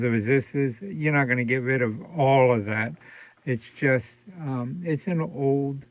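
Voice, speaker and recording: A man giving a casual, halting, matter-of-fact hands-on demonstration as a radio repairer. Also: mid-range voice, no echo. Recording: muffled, very noisy, radio static and hum. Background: antique radio static and interference.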